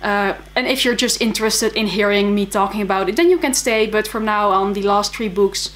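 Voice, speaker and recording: A woman's voice: medium pitch, fairly quick, fairly bright tone. A young woman from the Netherlands with a Dutch accent, chatting casually and enthusiastically about books she read, with frequent fillers. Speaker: book vlogger. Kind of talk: casual monthly reading wrap-up.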